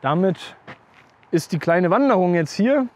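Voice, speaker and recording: Young man with a high voice, walking outdoors. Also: expressive, measured pace. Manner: thinking aloud with many fillers and restarts. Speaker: outdoor vlogger. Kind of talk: casual explanatory walk-and-talk. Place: outdoors on a rural path between bushes. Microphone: handheld camera at arm's length.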